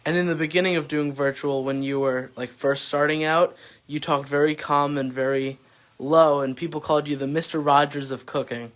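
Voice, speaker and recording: A man's voice: on the high side, fairly even intonation, normal speed, fairly bright tone. A young man behind the camera, reading viewer comments aloud during a cooking class. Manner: reads comments out plainly, brief replies.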